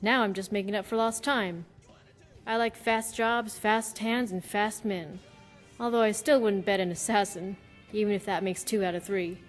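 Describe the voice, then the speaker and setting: A woman's voice: mid-range voice, fairly even intonation, moderate pace, in a large hall, faint music behind, natural voice. A woman playing a wry, roguish blind adventurer in a video game, telling her tale with dry, sardonic humour. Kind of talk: video game companion monologue.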